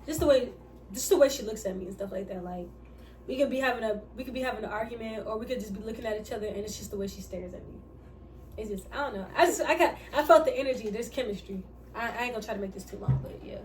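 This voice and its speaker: A woman with a light, slightly high voice rambles casually and loses her place mid-story. She sits back from the mic, and a faint hiss runs underneath. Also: quick pace, far from the mic. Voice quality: light, somewhat feminine voice. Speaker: vlogger answering couple questions. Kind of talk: casual mukbang chat.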